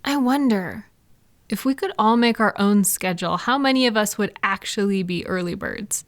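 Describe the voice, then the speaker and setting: A woman's voice: medium pitch, moderate pace, natural voice. A woman playing a caring, chatty girlfriend in an intimate one-sided roleplay audio, talking affectionately and reassuringly to a partner. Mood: musing, curious. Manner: soft-hearted, reassuring, playful, affectionate. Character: caring, gentle, chatty girlfriend comforting a night-owl partner.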